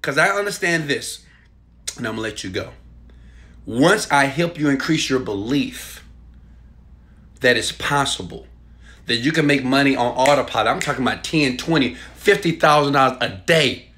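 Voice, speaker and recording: A man in his thirties, filmed on a phone held at arm's length in a small room at home, giving a hyped and preachy sales pitch with loud bursts and quieter asides. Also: animated, normal speed, long pauses. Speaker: online marketing coach. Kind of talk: selfie video sales pitch.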